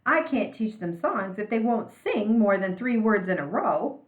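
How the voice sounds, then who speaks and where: A woman's voice: mid-range voice, lively intonation, normal speed, reverberant, narrow, tinny audio, close to an everyday voice. A woman voicing a firm, indignant female music teacher in a storybook, insistent and emphatic.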